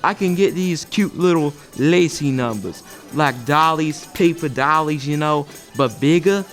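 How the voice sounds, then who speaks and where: An eager-to-please mobster's sidekick at a pizza parlor, acted by a young man. His voice is a little high, and he chatters solicitously in comic radio-play style. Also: a little slow, a bit bright, faint music behind. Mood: chatty. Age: young adult. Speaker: actor in a student audio play.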